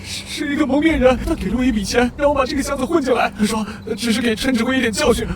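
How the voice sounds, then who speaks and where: An actor playing a cowardly supply soldier in an animated drama, ingratiating, evasive and easily flustered. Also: male voice, very high voice, racing, a little hiss or hum. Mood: panicked. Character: shifty, cowardly male supply-convoy soldier. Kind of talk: dramatic dubbed animated-comic dialogue. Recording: loud music behind the voice.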